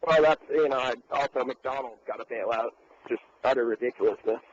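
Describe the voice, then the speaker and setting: A man's voice: mid-range voice, a little fast. A man from California calls into a radio show over a muffled, lo-fi line with a faint hiss underneath. He keeps his remarks brief and wry. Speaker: caller. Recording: dull phone line.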